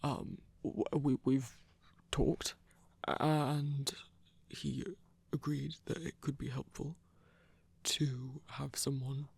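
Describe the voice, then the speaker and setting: A flustered hunter played by an actor in an intimate ASMR drama, speaking slowly and haltingly with plenty of stammering. The voice is breathy and creaky, recorded very dry. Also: mid-range voice, little pitch movement, darkish tone, no room sound, heavily acted. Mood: flustered, evasive. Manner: halting, stammering, awkward, sighing. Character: flustered, protective werewolf hunter in love. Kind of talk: intimate ASMR roleplay acting.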